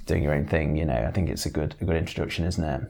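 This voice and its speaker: A man's voice: a bass voice, steady tone, racing, a little mellow. A man co-hosting a casual podcast, agreeable, often repeating his words. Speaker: podcast co-host.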